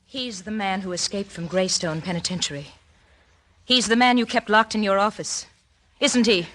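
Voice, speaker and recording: An actress playing a betrayed, coldly accusing wife with pointed, dramatic delivery in a 1940s radio play. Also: female voice, medium pitch, normal speed, natural voice.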